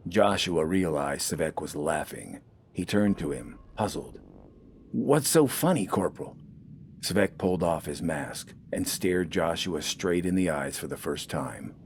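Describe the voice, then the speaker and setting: A man's voice: very deep voice, measured pace, a little hiss or hum. A man narrating scripted fiction in a dramatic storytelling style.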